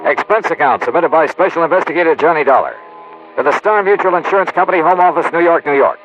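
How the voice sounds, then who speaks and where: A man playing a breezy, probing insurance investigator in an old American radio detective drama, crisp and wry. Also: on the high side, quick pace, fairly bright tone, soft background music, close to an everyday voice. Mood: brisk, wry. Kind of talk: 1950s radio detective drama, dialogue and first-person narration. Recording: dull, noisy old radio recording.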